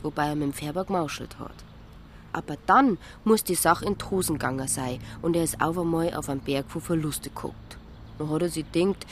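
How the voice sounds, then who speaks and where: A brisk Bavarian woman played by an actress speaks in a lowish voice over faint ambient noise. She is dry, knowing and matter-of-fact, in natural radio-play dialogue. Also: close to an everyday voice. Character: brisk, well-informed, teasing small-town Bavarian woman.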